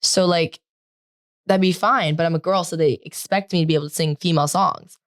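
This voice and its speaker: A teenage girl co-hosting a podcast in casual chat, talking loudly and rambling with lots of "like" fillers. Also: on the low side, fairly even intonation, a little fast, dry recording, studio quality. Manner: loud, projecting, rambling with many "like" fillers. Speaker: podcast co-host.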